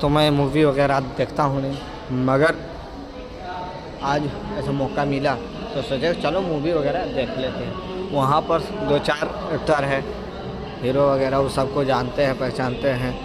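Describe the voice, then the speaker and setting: A man's voice: mid-range voice, little pitch movement, unhurried, low-quality recording. A man in his twenties with a Jharkhand accent, narrating a casual walk-through vlog straight to viewers on a phone held at arm's length. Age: 20s. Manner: addresses viewers directly while walking around. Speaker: vlogger. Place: large indoor mall and cinema lobby. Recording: loud music over phone vlog audio. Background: loud music.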